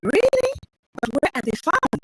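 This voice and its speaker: A woman acting in scripted radio promotion with an animated manner. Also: high voice, a little slow, soft background music. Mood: lively. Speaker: advert and station voice.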